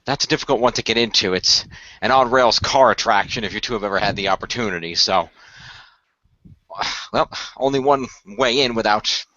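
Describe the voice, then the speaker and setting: A man's voice: on the low side, normal speed, sharp tone, dry recording, lo-fi sound. A man playing a composed, matter-of-fact leader of a hero team in an amateur radio play. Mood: explaining.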